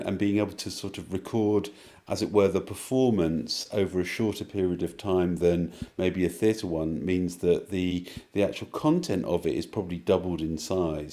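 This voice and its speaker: Deep-voiced, mellow man thinking aloud. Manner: hesitant, thoughtful, circling through rephrasings. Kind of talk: reflective interview answer.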